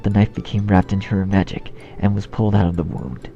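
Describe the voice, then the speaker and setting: A man narrating fan fiction in outtakes, halting and restarting, swearing at his own slips in a self-deprecating way. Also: on the low side, little pitch movement. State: shivering from cold. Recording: dull lo-fi sound, loud music. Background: loud music.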